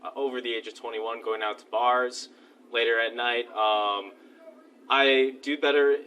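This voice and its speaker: A man's voice: medium pitch, fairly even intonation, a little slow, bright, forceful tone, clean tone. A man of twenty-three, a student, chatting in interview answers with many "uh" fillers. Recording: distant mic, faint noise.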